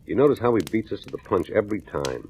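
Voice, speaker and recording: Hard-boiled police captain, swooping low voice. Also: male voice, big pitch swings, fast talker, dark tone. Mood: weary, brooding. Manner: sardonic, gruffly commanding. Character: hard-boiled male police captain, skeptical, bullying interrogator. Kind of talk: 1940s radio crime drama acting. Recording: dull old radio recording with music.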